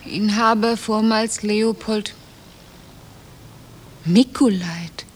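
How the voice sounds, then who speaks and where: An actress playing a distraught, accusing wife in a German radio play from around 1960, agitated and insistent. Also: female voice, medium pitch, drawn-out words. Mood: hesitant, subdued. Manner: agitated, insistent, pleading, emphatic. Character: distraught, accusing wife who discovers her husband's deception. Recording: noisy old radio drama cassette transfer.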